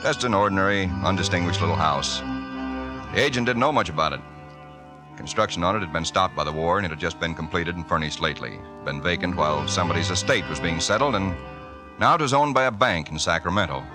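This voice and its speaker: An actor playing a tired, down-to-earth young husband who also narrates, in 1940s radio-drama style. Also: male voice, low-pitched, fast talker, over music, natural voice. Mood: recounting. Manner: plain, matter-of-fact storytelling. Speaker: narrator and lead character.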